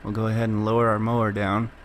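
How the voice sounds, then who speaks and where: Gaming host, male, low voice, head cold, easygoing play-by-play over steady noise. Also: quick pace. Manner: easygoing, unsure, thinking aloud. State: has a cold, sniffly and coughing. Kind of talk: casual gameplay commentary.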